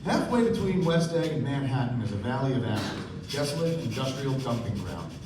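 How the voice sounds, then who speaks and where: A man's voice: medium pitch, sing-song, brisk, natural voice. Man acting a reserved narrator, echoey and distant. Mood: measured narration. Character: Nick, thoughtful, reserved young male narrator. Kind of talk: live radio play narration. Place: theatre stage with live audience. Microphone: far camera. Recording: roomy stage recording from afar, noisy.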